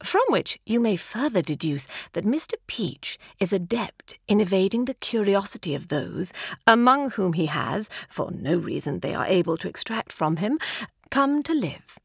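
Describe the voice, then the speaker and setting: A woman's voice: mid-range voice, sing-song, moderate pace, gravelly, husky, no echo, studio quality. A woman with an English accent narrating a literary story in an arch, formal, theatrical storyteller's manner. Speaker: audiobook narrator.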